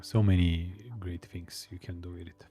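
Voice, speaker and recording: A man hosting a live stream, chatting casually with viewers, hesitant with many pauses and fillers. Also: low voice, flat delivery, mellow. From Romania. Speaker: live-streaming coding host. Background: faint music.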